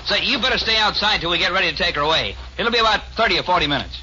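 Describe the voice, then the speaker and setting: An actor playing a brisk, knowing western man in a 1940s radio drama, folksy and matter-of-fact. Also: sits high, lively intonation, loud music behind the voice. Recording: muffled, faintly noisy 1940s radio recording.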